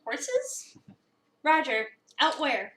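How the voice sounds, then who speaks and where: A woman reading a visual novel as an eager, awkward new cafe employee, chatty, expressive and self-deprecating. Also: high-pitched, extremely slow, slight background noise, exaggerated voice. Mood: eager, flustered. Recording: roomy, a bit far from the mic.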